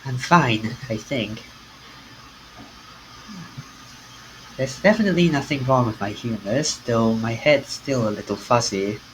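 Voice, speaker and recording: A man's voice: medium pitch, normal speed, a bit bright, slight background noise, close to an everyday voice, rough recording. A man voicing a groggy, puzzled male office worker in a subdued, reflective visual novel reading.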